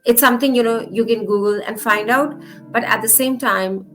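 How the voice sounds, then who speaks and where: A woman's voice: a little high, moderate pace, soft background music. A woman in her forties, originally from India, answering interview questions on marketing thoughtfully, with frequent fillers. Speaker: marketing guest.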